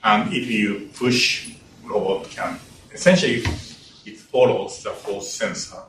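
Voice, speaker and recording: Older male engineering lecturer, low voice, non-native English phrasing, heard far off in an echoey hall. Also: steady tone, measured pace. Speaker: engineering professor giving a lecture. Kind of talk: academic lecture. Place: lecture room. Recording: roomy, distant lecture hall capture with faint room noise.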